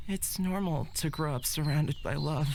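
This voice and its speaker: An actor playing a gentle, optimistic young man in a fantasy visual novel, soft, hesitant and reassuring. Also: on the high side, moderate pace, gravelly, very noisy. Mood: sincere.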